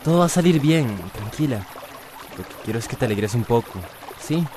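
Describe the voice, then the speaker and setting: An actor as a gentle, reassuring young man. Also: medium pitch, expressive, fairly quick, music in the background, close to an everyday voice. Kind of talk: radio soap opera acting. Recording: clear noisy outdoor ambience.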